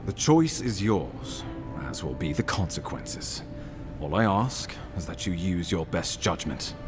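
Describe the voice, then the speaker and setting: An actor playing a grave imperial official in a video game, speaking formally and deliberately with authority. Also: male voice, sits low, lively intonation, music in the background. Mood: grave, resolute. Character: grave, commanding imperial official briefing an envoy.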